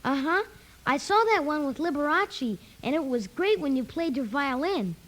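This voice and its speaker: A woman's voice: high voice, lively intonation, normal speed, fairly bright tone. A woman playing a polite, earnest little boy in a 1950s radio comedy. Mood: eager, polite.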